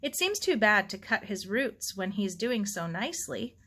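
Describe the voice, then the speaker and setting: A woman's voice: medium pitch, sing-song, moderate pace, pushed and bright, slightly rough, heavily acted. A woman voicing a polite, curious and slightly hesitant young girl in a story.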